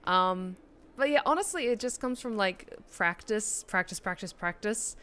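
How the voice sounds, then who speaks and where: A woman's voice: mid-range voice, animated, normal speed, fairly bright tone, clean tone. A young Australian woman, a streamer and voice actor, chatting casually while playing a game with lots of fillers. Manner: chatty, many fillers, rambling. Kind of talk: casual chat while gaming. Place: small room indoors.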